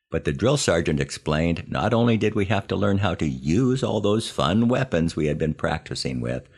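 An older American man with a gravelly voice, narrating a scripted humorous memoir as a podcast storyteller in a wry, self-deprecating manner with deliberate pauses. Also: extremely low pitch.

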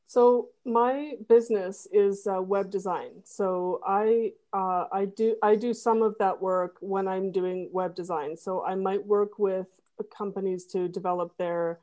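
A woman guest on a podcast, explaining her work in a relaxed conversational way and repeating words as she thinks. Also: mid-range voice, measured pace. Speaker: podcast guest, web designer. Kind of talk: casual interview chat.

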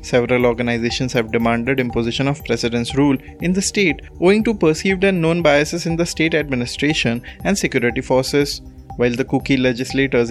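A man with an Indian accent presenting a scripted news bulletin. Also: on the high side.

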